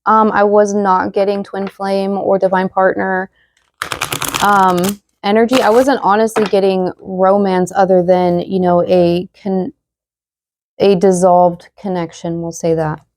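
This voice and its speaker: A woman's voice: mid-range voice, level tone, a little slow, a little mellow, a little hiss or hum. Woman tarot reader losing her voice. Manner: chatty, many fillers, reads card messages aloud. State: losing her voice, slightly hoarse. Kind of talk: casual tarot reading to viewers.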